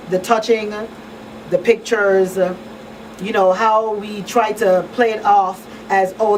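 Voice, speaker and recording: A middle-aged woman hosts a talk show in an earnest, conversational way, repeating phrases to drive them home. Her voice sits at an average pitch. She sounds a little away from the microphone, with faint room noise behind her. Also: darkish tone, recorded from a distance, low-quality recording. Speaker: radio talk show host. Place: small radio studio. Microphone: boom-arm studio microphone.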